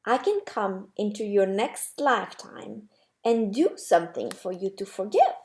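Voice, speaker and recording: A woman's voice: mid-range voice, big pitch swings, a little slow, a little mellow, voice pushed down. A woman voicing a friendly, loving soul in a storybook, speaking in a reassuring and tender manner. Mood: cheerful, helpful. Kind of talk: storybook character reading.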